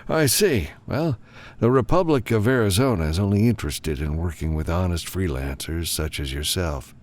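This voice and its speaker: Man as a formal, officious government minister, lively intonation. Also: deep voice, moderate pace. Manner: polished, officious. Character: formal, self-assured male government minister. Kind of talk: audiobook character dialogue.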